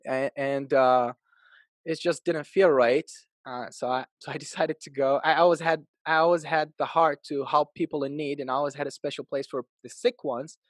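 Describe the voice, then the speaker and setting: A man in his thirties, originally from Iraq, talks through his life story as a podcast guest. He sounds upbeat and lively, and his voice sits a little higher than most men's. The sound is dry and close, with no room echo. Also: moderate pace. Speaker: podcast guest, real estate agent. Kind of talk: casual interview storytelling.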